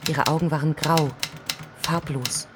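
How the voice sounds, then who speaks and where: An actress as the narrator of a novel within a radio drama, reading descriptive prose in a measured way. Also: female voice, sits low, steady tone, heavy background noise. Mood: absorbed, intent. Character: literary woman narrator reading a novel of longing. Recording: studio with typewriter clatter behind.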